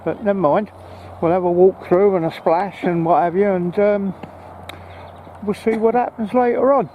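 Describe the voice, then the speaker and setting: A man's voice: sits high, steady tone, normal speed, highs cut off. A man chatting casually and a little hesitantly to camera as he walks outdoors in a park. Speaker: vlogger. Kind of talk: casual chat to camera while walking. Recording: noisy outdoor recording with wind.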